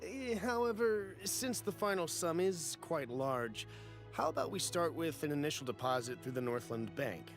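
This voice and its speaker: An agreeable video game merchant with a fairly high, animated voice. He is polite and deliberate in his phrasing, with faint music behind him. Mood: polite, businesslike. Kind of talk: video game character dialogue.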